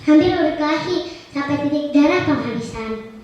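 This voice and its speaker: A young girl performs a folk legend as a storyteller, declamatory and dramatic. Her high voice comes from some distance in a very echoey room, slightly muffled. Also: expressive, normal speed, darkish tone. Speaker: storytelling contestant. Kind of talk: theatrical folk-tale storytelling.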